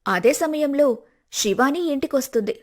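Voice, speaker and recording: A woman's voice: sits high, lively intonation, moderate pace, a little mellow. A woman narrating a cartoon family story in a plain storytelling way.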